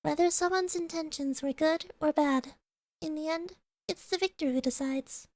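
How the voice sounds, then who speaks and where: A woman playing an aloof, philosophical character in a radio drama, with a measured, reflective manner. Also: high-pitched, dry recording, natural voice.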